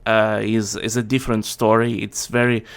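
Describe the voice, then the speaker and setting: A man hosting his video channel, arguing his points calmly in a casual video chat. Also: lowish voice, moderate pace, smooth. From Russia. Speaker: channel host.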